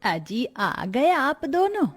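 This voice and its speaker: A woman's voice: sits high, very animated, slow pace, faint music behind, full character voice. A woman voicing an anxious, respectful woman of a poor household in a cartoon story. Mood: dutiful. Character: worried, dutiful women of a poor household.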